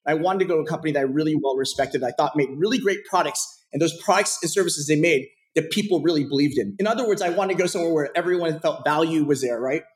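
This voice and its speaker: A man in his thirties from Portland, Oregon, a podcast guest telling his career story in a casual, animated way with plenty of fillers. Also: fairly high pitch, fast, few pauses.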